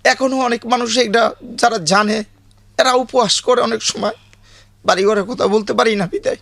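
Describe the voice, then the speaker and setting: A man's voice: extremely high pitch, fairly even intonation, normal speed, vocal fry, fairly bright tone, no echo. A man in his late fifties with a Bangladeshi accent, telling his life story as an interview guest in plain, colloquial, repetitive speech.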